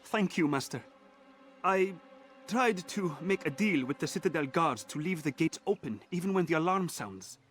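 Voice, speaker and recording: A man's voice: fairly high pitch, expressive, moderate pace, fairly bright tone, faint music behind. An actor playing a frightened male informant on the run, jittery and breathless. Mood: panicked.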